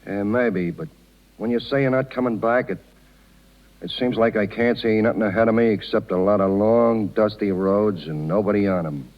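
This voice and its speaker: An actor playing a loyal, wounded circus man with folksy, halting, ungrammatical speech in a 1930s radio drama. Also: lowish voice, natural voice. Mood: wounded, resigned. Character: plain-spoken circus man, loyal, wounded, protective of Polly. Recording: muffled 1930s radio broadcast with long reverb.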